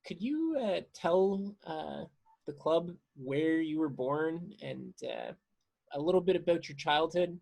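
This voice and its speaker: A man hosting a casual interview, putting his questions in a halting, deliberate way. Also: on the high side, lively intonation, gravelly, a little mellow.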